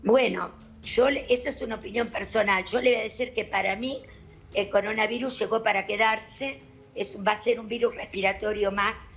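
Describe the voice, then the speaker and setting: A woman doctor with an Argentine accent, explaining calmly and methodically on a television panel. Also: medium pitch, vocal fry, low-quality recording. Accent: Argentine Spanish. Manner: measured, explanatory, addresses host formally.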